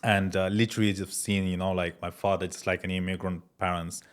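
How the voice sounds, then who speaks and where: Young guy from france, low voice, chill podcast guest, lots of bro and man. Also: brisk. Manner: relaxed, many fillers like "bro" and "man". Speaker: guest entrepreneur. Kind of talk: casual interview chat.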